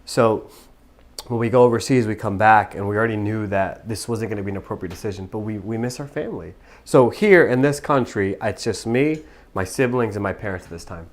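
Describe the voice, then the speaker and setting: A man's voice: fairly low pitch, brisk. A man in his thirties from Pakistan, telling his own story as a podcast guest, earnest and reflective. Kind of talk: personal story in a podcast interview.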